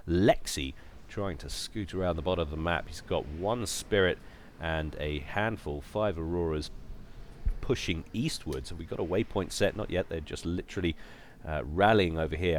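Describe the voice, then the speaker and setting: A man's voice: deep voice, a little hiss or hum. A man casting a strategy game in chatty play-by-play, slightly nasal from a cold. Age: adult. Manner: lively, chatty play-by-play with wry asides. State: has a cold. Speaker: game caster. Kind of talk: live strategy game commentary.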